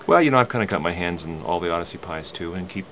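A man's voice: deep voice, animated, quick pace, fairly bright tone. A man speaking as an executive producer, talking casually about his work in an office interview. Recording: office with faint background noise.

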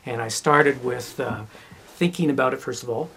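A man's voice: mid-range voice, normal speed, crowd noise. A man talking casually as he explains his work as a sound designer.

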